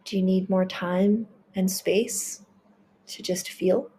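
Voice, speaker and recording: A woman leading a guided healing meditation, speaking in a gentle, reassuring and affirming way. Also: medium pitch, fairly even intonation, slow pace, slight background noise.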